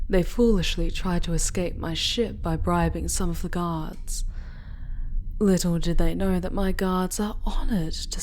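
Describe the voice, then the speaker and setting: A woman playing a seductive, sadistic dark elf noblewoman in an intimate ASMR roleplay, breathy and often whispering, haughty and commanding. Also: lowish voice. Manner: slow, sultry, haughty and commanding. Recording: clearly audible background noise.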